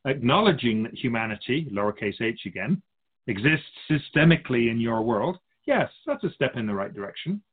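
A man's voice: medium pitch, sing-song, brisk, narrow, tinny audio. A man playing an otherworldly keeper of souls in a radio drama, a wise, teasing mentor who muses theatrically in rhetorical questions. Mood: musing. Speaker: spirit guide in a radio drama.

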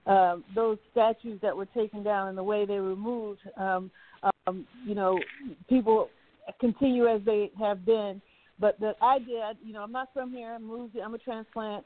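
A woman's voice: mid-range voice, fairly even intonation, moderate pace, dry recording. An older woman from Virginia, calling in and speaking in an encouraging, rambling way with many fillers. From Virginia, USA. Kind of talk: casual call-in chat. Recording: tinny phone line.

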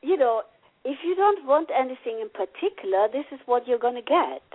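A woman's voice: high voice, sing-song, fairly quick, breathy edge. A woman with a German accent, an author chatting earnestly in an interview and laughing often. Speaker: author and guest. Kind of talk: casual interview chat. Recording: phone-like radio audio.

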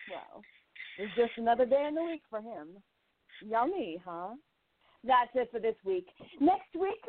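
A woman's voice: mid-range voice, phone-quality sound, natural voice. A woman playing a flirtatious, catty 1920s gossip columnist in a radio play, arch and theatrical, savoring her words. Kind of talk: radio play narration and dialogue.

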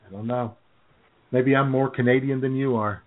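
A man's voice: mid-range voice, steady tone, normal speed. A man with a mid-range voice banters casually on a talk show. He is laid-back and rambles a little, feeling his way through sentences. The sound is narrow and thin, like a phone call.

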